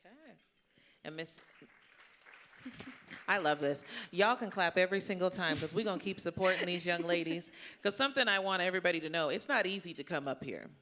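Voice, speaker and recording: A woman's voice: fairly low pitch, brisk, bright, forceful tone, slight background noise. A woman hosting an informal live panel, talking in a folksy, colloquial, encouraging way. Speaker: panel moderator. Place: indoor luncheon event before an audience. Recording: live event room with crowd.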